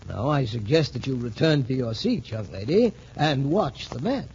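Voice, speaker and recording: A man's voice: mid-range voice, sing-song, normal speed, vocal fry, darkish tone. An actor playing a stern, fatherly academy major, formal and authoritative, in a 1940s radio drama. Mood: admonishing. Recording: muffled, faintly noisy old radio.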